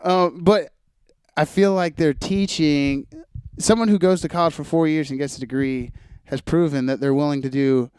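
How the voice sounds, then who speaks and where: This is a man in his mid-twenties with a clear, smooth voice, recorded dry and close. He talks like a podcast host in casual conversation. His delivery is wry and a little hesitant, as if working out each thought while he says it. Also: a little high, normal speed, darkish tone, dry recording.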